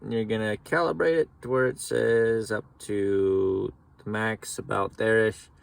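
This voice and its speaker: A man giving a relaxed, folksy how-to walkthrough from inside a truck. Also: lowish voice, a little slow, creaky. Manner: relaxed, folksy explaining with casual slang. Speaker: mechanic demonstrating CB radio setup. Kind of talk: casual how-to narration.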